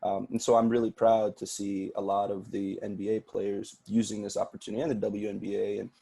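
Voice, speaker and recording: A man's voice: on the low side, level tone, a little fast, vocal fry, warm tone, husky. A man from Sudan whose first language is Arabic, talking earnestly as a podcast guest and often repeating words as he starts a thought. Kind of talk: casual interview talk.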